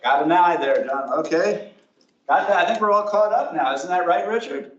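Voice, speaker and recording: A man chairs a public meeting, his voice sitting at a middle pitch, and he wanders through the agenda thinking aloud and hedging as he goes. He is picked up from a distance in an echoing room. The recording is dull and lo-fi. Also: lively intonation, normal speed, a bit bright. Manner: meandering, thinks aloud and hedges. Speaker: commission chair.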